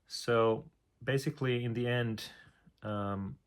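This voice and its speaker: A man's voice: mid-range voice, fairly even intonation, takes it slowly, clear, resonant tone. A man, a composer explaining his process with many fillers, now and then humming melody lines. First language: Finnish.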